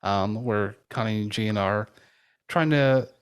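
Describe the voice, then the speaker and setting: A man co-hosting a conversational interview, speaking in a halting, tentative way. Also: on the low side, clear, resonant tone. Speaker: podcast co-host interviewing a guest.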